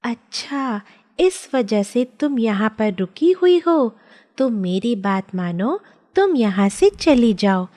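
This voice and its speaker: Woman playing a lively, worried wife. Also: on the high side, takes it slowly. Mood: urging. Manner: earnest, admonishing. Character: kind, worried wife with a conscience. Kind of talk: children's cartoon story dialogue.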